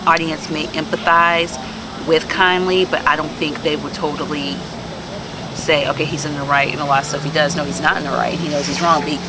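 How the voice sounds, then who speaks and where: A woman's voice: deep voice, fairly even intonation, fairly quick. Woman author chatting amid background noise. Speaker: author being interviewed. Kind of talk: casual explanatory chat. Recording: amateur video, noisy background.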